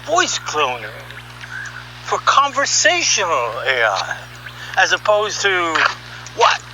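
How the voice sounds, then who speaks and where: A man giving an opinionated, conversational monologue as a podcast host, sardonic and animated, throwing out rhetorical questions. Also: on the high side, slight background noise, low-quality recording. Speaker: podcast host and audio artist. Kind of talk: opinionated monologue, reading article excerpts aloud.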